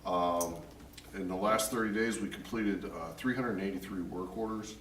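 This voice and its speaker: Deep-voiced man, distant, echoing room. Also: normal speed, fairly bright tone. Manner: casual, many ums and uhs. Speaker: city service department director. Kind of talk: department report at a council meeting. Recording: roomy, off-mic, with background noise.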